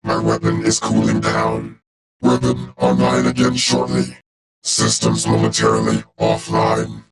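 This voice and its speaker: A man voicing a cold, loyal robot warrior for a video game, speaking in a clipped, formal, deadpan way through a robotic filter. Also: lowish voice, a little slow, gravelly. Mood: clinical, detached. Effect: robotic vocoder filter.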